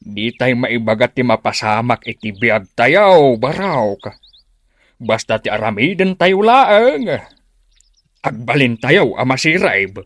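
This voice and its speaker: A man's voice: medium pitch, animated, takes it slowly, fairly bright tone, no echo, clean studio sound. A man playing a kindly old village uncle, folksy, musing and philosophical, in an Ilocano radio drama. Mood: amused, wise.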